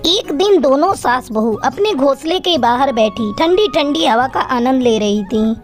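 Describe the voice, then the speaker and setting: Female storyteller for kids' cartoon: high register, scripted narration over light music. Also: expressive, normal speed.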